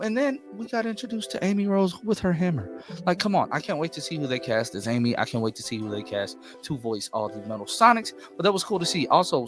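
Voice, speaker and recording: A man's voice: medium pitch, a bit bright. Enthusiastic male movie reviewer over background music. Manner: enthusiastic, emphatic, repeats himself for emphasis. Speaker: movie reviewer and channel host. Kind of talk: informal unscripted review.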